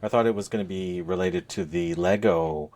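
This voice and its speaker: A middle-aged man hosting a casual podcast, talking in a measured, hesitant way with frequent pauses. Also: deep voice, creaky, a little mellow. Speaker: podcast host. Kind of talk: casual gaming podcast chat.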